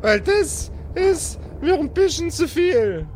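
Man putting on a high-pitched, flustered mother. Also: sing-song, takes it slowly, a little mellow, a little hiss or hum, exaggerated voice. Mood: flustered, hesitant. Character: flustered, timid mother of a household. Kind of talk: improvised tabletop audio play.

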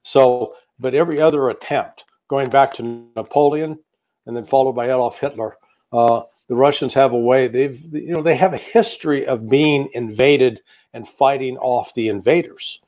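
An American man talking at an ordinary mid pitch, steady and deliberate as he works through a long answer. He pauses often, filling the gaps with small hesitations and restarts, like a guest analyst laying out a case in an interview. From United States. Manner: measured, with frequent fillers and restarts. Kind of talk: interview commentary.

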